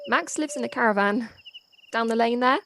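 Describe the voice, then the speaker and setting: A woman's voice: fairly high pitch, moderate pace, a bit bright. A woman acting in an audio play as a young local daughter, loyal, polite and matter-of-fact, friendly but a little guarded. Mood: confiding, matter-of-fact. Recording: faint noise, home personal-device recording.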